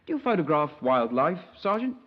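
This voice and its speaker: An actor playing a naive young English scoutmaster in a 1950s radio crime drama, polite, hesitant and wordy, easily affronted. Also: male voice, a little high, big pitch swings, fairly quick. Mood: earnest, rambling. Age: young adult. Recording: dull, band-limited 1950s radio recording.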